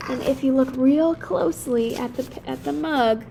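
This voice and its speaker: A woman's voice: sits high, normal speed, vocal fry, dark tone, a little hiss or hum. A woman hosting a picture book read-aloud, adding short explanatory asides for young listeners.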